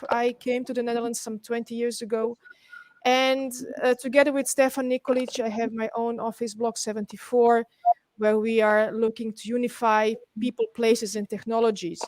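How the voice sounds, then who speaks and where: Higher-pitched Serbian-accented woman presenting. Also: little pitch movement, normal speed, darkish tone, no echo. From Belgrade, Serbia. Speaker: guest presenter, urban planner. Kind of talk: webinar presentation. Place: indoors, on an online webinar call.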